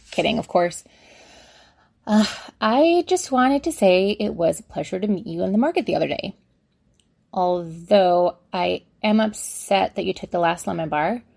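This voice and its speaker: A woman's voice: lowish voice, gravelly, a little mellow, no echo. A young woman playing a flustered, chatty witch in an audio drama, rambling awkwardly and correcting herself as she dictates a letter. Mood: shy, chatty. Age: young adult. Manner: rambling, awkward, self-correcting, sighing asides. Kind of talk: audio drama monologue, conversational.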